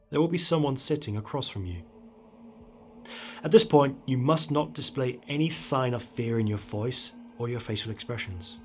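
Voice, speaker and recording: A man with a husky voice narrating a horror story in a theatrical, conspiratorial way, speaking straight to the listener. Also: mid-range voice, a little hiss or hum. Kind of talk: dramatic scary story narration.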